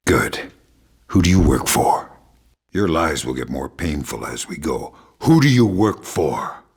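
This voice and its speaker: An actor playing a grizzled, middle-aged male covert agent in a video game, with a gravelly, deadpan, quietly threatening delivery. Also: extremely low pitch, heavily acted. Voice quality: gravelly, husky. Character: grizzled veteran male covert agent, menacing, sardonic, dry-witted. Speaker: video game lead character. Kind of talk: dramatic video game acting.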